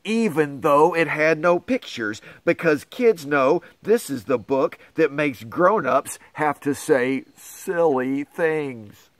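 A man's voice: on the high side, animated, slow pace, few pauses, dry recording. A middle-aged man reading a picture book aloud to children with theatrical, exaggerated dismay and astonishment. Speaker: pastor reading to schoolchildren.

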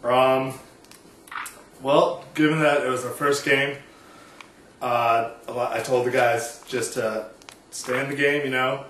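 Halting man fielding press questions. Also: mid-range voice, fairly even intonation, a bit bright, far from the mic, slight background noise. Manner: halting, hesitant, full of ums. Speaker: head coach at a mock press conference. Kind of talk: comic press conference skit. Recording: echoey room, heard from afar.

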